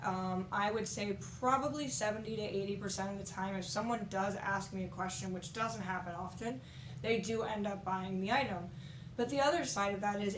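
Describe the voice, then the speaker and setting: A woman with a slightly raspy voice, recovering from being sick, giving frank, practical reselling tips at home in a casual vlog. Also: medium pitch. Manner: frank, practical, conversational with fillers. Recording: echoey room with faint noise.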